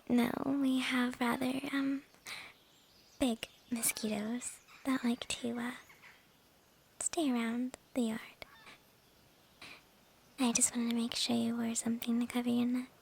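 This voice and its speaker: A woman acting a slow, whispery young vampire girl. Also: on the high side, flat delivery, a little hiss or hum. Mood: gently evasive. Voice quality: soft, breathy, often whispering. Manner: coy, hesitant, drawn-out phrasing with soft chuckles. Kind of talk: ASMR roleplay, intimate girlfriend-style monologue.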